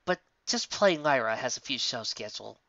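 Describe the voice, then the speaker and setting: A down-to-earth young female pony musician in a cartoon fan story, read by a man. The delivery is plain and sceptical, bright in tone, recorded dry and a little dull. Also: mid-range voice, big pitch swings, moderate pace, vocal fry, no room sound, full character voice. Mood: flat, modest.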